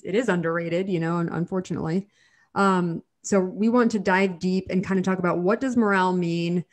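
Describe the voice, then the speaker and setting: A woman in her thirties co-hosting a podcast from home, a little nasal from a cold, chatty with frequent restarts. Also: lowish voice. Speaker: podcast co-host, dental hygienist. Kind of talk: casual podcast chat. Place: home living room. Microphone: studio mic on video call.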